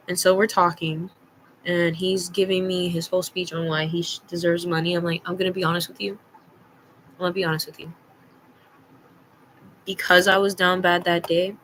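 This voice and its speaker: A woman's voice: fairly low pitch, a bit bright, slight background noise, low-quality recording. A young adult woman telling a personal story casually, in a blunt, slangy, emphatic way, acting out bits of dialogue. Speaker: storytime vlogger.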